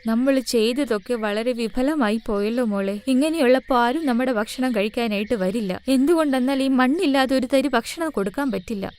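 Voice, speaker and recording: Woman voicing a worried, high-voiced elderly mother-in-law. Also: moderate pace, hardly any pauses, a little mellow, natural voice. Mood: dejected. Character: elderly mother-in-law, loving, worried, protective of her daughter-in-law.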